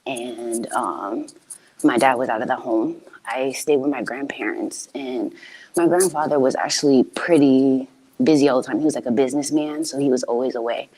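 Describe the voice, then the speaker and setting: A young woman from Haiti calling in to a show, speaking hesitantly with frequent pauses and ums. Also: rumbling low, breathy edge, low-quality recording. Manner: hesitant, many ums, soft-spoken. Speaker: caller.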